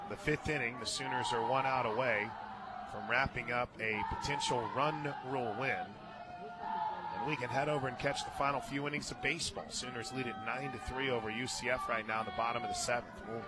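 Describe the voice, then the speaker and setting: An American man giving live radio play-by-play of a softball game, conversational, with clipped calls of each play. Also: mid-range voice. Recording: very noisy outdoor ballpark booth.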